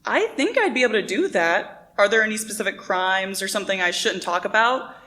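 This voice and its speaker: A woman playing a hesitant young woman steeling herself in an audio drama, speaking in a measured, tentative way. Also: medium pitch, lively intonation, bright, forceful tone.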